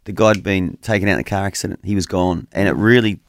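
A man's voice: sits low, fairly even intonation, fast, dry recording, clean studio sound. Earnest man preaching over radio. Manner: earnest, preacherly, addresses co-host by name. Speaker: radio host. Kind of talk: conversational Bible teaching on radio.

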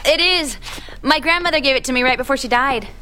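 A woman's voice: high voice, brisk, sharp tone, a little hiss or hum. An actress playing a chatty, flirtatious but evasive single woman in an audio drama, with a coy, teasing manner. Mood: apologetic, confiding. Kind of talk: naturalistic audio drama acting.

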